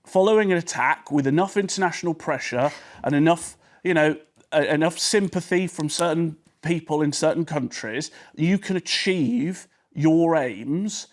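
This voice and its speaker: Male panelist, higher voice, argumentative, stammers and restarts. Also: moderate pace. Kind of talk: heated studio debate. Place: TV studio.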